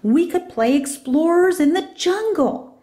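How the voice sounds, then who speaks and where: A woman's voice: very high voice, lively intonation, measured pace, warm tone, voice pushed up. A woman voicing an eager, confident little girl in a children's storybook, full of exclamations. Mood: excited, playful. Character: excitable, confident little girl on a camping adventure.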